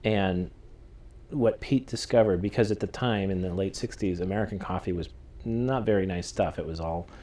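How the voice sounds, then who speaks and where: Deep-voiced male interviewer. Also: moderate pace, warm tone. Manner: conversational, anecdotal questions. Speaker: interviewer, host. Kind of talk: interview conversation.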